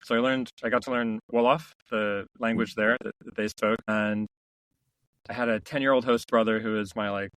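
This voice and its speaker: A middle-aged man, a company founder, telling stories in a relaxed interview, reflective and full of restarts. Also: on the low side, normal speed, clear, resonant tone, no room sound, rough recording. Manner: reflective, many restarts and "you know" fillers. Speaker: founder and CEO, podcast guest. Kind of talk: casual interview storytelling.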